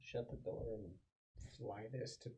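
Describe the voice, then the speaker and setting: Slow-paced tabletop game player. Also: medium pitch, mellow, low-quality recording. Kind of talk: casual game-table chat.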